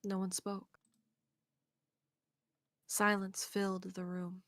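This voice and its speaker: A woman's voice: medium pitch, little pitch movement, takes it slowly. A woman reading scripted narration from a visual novel.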